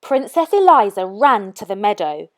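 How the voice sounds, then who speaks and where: A woman's voice: medium pitch, very animated, normal speed. A woman presenting storytime, reading aloud expressively for children.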